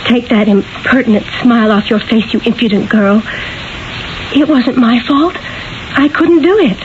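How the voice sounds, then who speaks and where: A woman's voice: fairly high pitch, moderate pace, close to an everyday voice. Woman acting demure young wife, muffled old radio. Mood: apologetic, helpless. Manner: simple, hesitant, earnest. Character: Mona Lisa, demure, naive young merchant's wife. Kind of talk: 1970s radio drama acting. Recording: dull old radio, faint hiss.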